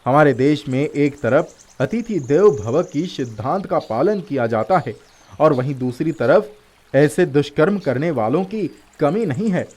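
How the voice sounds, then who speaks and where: A man's voice: on the high side, animated, measured pace, darkish tone. A man voicing a brave, courteous young prince in a fairy tale, speaking formally and earnestly. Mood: indignant, resolute. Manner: formal, gallant, earnest delivery.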